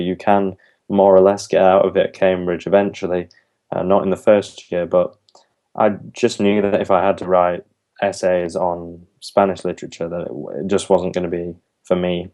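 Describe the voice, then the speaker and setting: A man's voice: low voice, mellow. A young man, a university language student, answering interview questions thoughtfully and earnestly, with some ums and restarts. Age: young adult.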